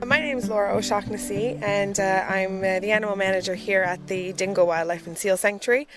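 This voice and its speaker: A woman's voice: medium pitch, steady tone, brisk, over loud music. Hesitant woman, sanctuary manager. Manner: hesitant, many "um" and "uh" fillers. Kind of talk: informational interview.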